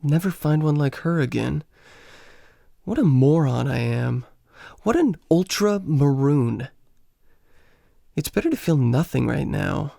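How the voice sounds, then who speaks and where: Man acting a slow, creaky lovelorn American vacationer. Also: a little high, lively intonation, mellow, no room sound, studio quality, exaggerated voice. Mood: dejected. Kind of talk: radio play acting.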